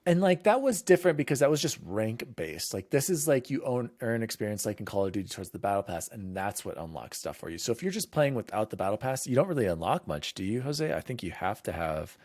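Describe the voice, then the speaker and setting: A man with a fairly low voice chats about games on a podcast, rambling and restarting his sentences in quick bursts. His voice is recorded close and dry, with no room sound. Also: sing-song, darkish tone, dry recording. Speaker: podcast host. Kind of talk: casual gaming podcast chat.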